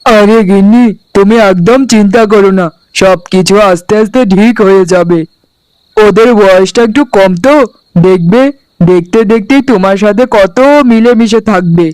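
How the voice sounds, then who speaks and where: A man's voice: high voice, darkish tone, clear, resonant tone, no echo, close to an everyday voice, poor audio. A man voicing a young newlywed husband and elder brother in a cartoon, placating in manner. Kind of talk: Bengali cartoon dialogue acting.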